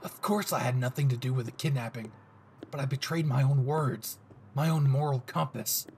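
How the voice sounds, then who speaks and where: A man's voice: medium pitch, normal speed, a little mellow, slight background noise, natural voice. A man playing a brash, possessive young hero, cocky, swearing and intense, in a dramatic audio roleplay spoken to the listener. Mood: bitter, intense. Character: brash, possessive young male hero, gruff and foul-mouthed.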